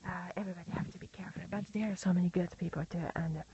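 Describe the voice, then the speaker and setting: Husky, half-whispering woman. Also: lowish voice, fairly even intonation, highs cut off. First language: Japanese. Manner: soft, often half-whispered, halting with many fillers. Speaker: livestream host. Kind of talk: casual livestream monologue.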